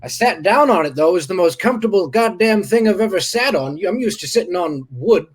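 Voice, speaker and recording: A man's voice: high-pitched, lively intonation, fairly quick, breathy edge. A man playing a bewildered Civil War doctor who stammers and rambles in old-fashioned bluster. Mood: rambling. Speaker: guest. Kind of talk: improvised comedy character.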